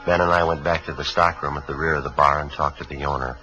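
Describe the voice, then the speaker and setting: A man's voice: a bass voice, steady tone, fast. A man playing an American police sergeant in a 1950s radio drama, narrating and questioning in a terse, matter-of-fact, businesslike way. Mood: flat, factual. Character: calm, businesslike male police sergeant narrating his case. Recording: dull 1950s radio broadcast, faint music.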